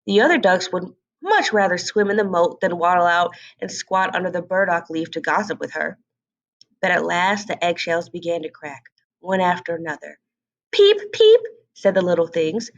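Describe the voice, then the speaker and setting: A woman's voice: fairly low pitch, normal speed, muffled recording. A woman storyteller expressively narrating a scripted children's story.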